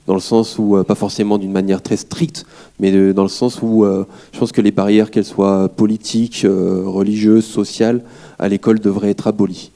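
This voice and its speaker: A man from France speaking from the floor at a public debate, polite and earnest, halting with fillers. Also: lowish voice, flat delivery, gravelly, mellow. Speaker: audience member at a public debate.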